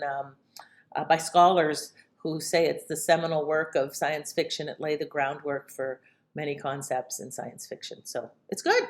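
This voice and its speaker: Give me a chatty woman host with a fairly low voice, casual and rambling. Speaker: podcast host. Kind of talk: casual chat introduction.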